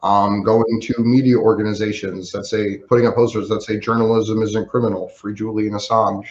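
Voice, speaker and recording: A man's voice: fairly low pitch, level tone, moderate pace. Earnest guy on a video call, kinda echoey and muffled, persuading people to act. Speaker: activist guest. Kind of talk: casual livestream chat. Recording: roomy, dull video call audio.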